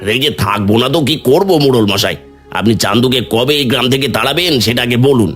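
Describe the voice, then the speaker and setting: A man voicing a resentful, indignant village man in a cartoon, with a husky voice. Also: medium pitch, a little fast, a bit bright, soft background music, close to an everyday voice. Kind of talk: cartoon voice acting.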